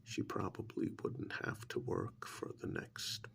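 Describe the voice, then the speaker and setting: A man playing a sly, menacing interrogator in a soft-spoken ASMR role-play, half whispering with insinuating, drawn-out pauses and mock admiration. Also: a little hiss or hum, lightly acted. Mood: mock-admiring, sardonic. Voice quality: breathy whisper mixed with gravelly creak. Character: sly, menacing male interrogator taunting a mad scientist.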